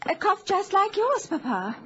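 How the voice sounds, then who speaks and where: Actress as high-voiced prim gentlewoman, muffled recording. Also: sing-song, measured pace, heavily acted. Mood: polite, unwell. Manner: formal, delicate, genteel. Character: prim, polite young gentlewoman, ailing daughter. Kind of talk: old-time radio drama acting. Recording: muffled lo-fi radio recording with hiss.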